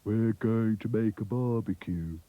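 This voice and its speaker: A man voicing a gloomy, slow-talking male toy with a droopy delivery. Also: on the low side, no echo, narrow, tinny audio, close to an everyday voice. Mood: glum.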